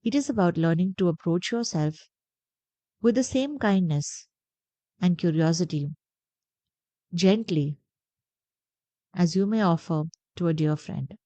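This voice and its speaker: A woman guiding a meditation in a gentle, deliberate, soothing way, pausing between phrases. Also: lowish voice, dry recording. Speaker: meditation guide. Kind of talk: guided meditation narration.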